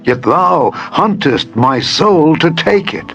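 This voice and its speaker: A man's voice: on the high side, expressive, slow, a bit bright, exaggerated voice. An actor playing an earnest young warrior pleading his innocence in a declamatory scripture drama. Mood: impassioned pleading. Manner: declamatory, emphatic, solemn archaic phrasing. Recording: dull lo-fi audio with music.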